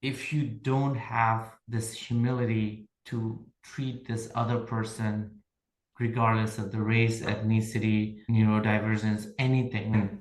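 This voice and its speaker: A man's voice: lowish voice, roomy sound, low-quality recording. A man from Nepal, a podcast guest reflecting on leadership and telling workplace stories in casual conversation. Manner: reflective, tells workplace stories, often checks in with "right?".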